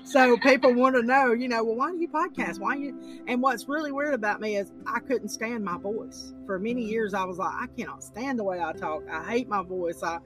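Woman podcast host, raspy and deep-voiced, chatty and rambling. Music bed behind her. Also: normal speed, low-quality recording. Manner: rambling, repeats words, many "you know"s. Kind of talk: casual interview chat.

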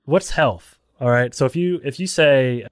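A man's voice: mid-range voice, unhurried, dry recording, studio quality. A man hosting a casual podcast conversation, asking questions in a loose, conversational way. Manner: conversational, questioning, with many "like" fillers.

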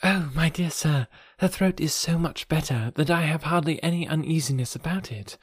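A man's voice: fairly high pitch, normal speed, breathy edge, no room sound, pitched above the performer's own voice. A man voicing an affectionate young mother who speaks eagerly and politely, in an audiobook. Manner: eager, polite, softly raised. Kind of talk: audiobook character reading.

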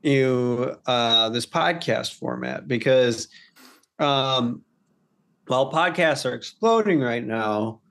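A man with a medium-pitched voice hosts a casual interview. He builds his questions slowly out of many small restarts, wordy and a little hesitant. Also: lively intonation, fairly bright tone. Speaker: podcast co-host interviewing.

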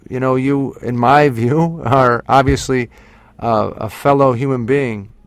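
A man interviews in a mid-pitched voice with a dry, close sound. His delivery is halting and tentative, and he picks his words carefully as he works toward each question. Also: vocal fry, no echo. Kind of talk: conversational interview.

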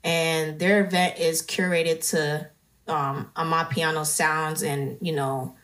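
A woman's voice: low voice, flat delivery, slow pace, a bit bright, distant mic. A woman chatting casually as a guest DJ, with a slangy, laid-back way of talking. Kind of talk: casual chat.

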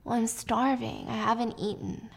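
A woman's voice: on the high side, level tone, moderate pace, creaky, husky. A woman voicing a defensive, guilt-ridden young husband in a plaintive, apologetic way while retelling a film. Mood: whiny. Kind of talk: acted dialogue in a movie retelling.

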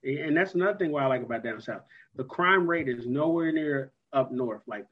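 A man's voice: medium pitch, lively intonation, fairly quick. A man hosting a casual chat, talking in an easygoing, chatty way. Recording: dull lo-fi sound.

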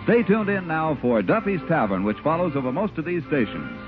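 Animated 1940s radio announcer man. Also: on the high side, a little fast. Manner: folksy, polished salesman. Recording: tinny, husky-sounding 1940s radio broadcast with music.

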